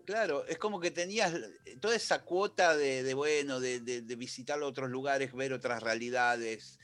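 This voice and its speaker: A man's voice: medium pitch, normal speed, faint music behind. Man hosting a radio talk. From Argentina. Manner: relaxed, colloquial, affirming. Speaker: radio host. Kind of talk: casual radio interview. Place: radio studio.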